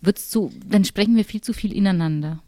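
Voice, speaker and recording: A woman's voice: medium pitch, fairly quick, warm tone, no room sound. A woman in a casual group discussion, rephrasing hesitantly as she explains her opinion. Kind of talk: casual chat.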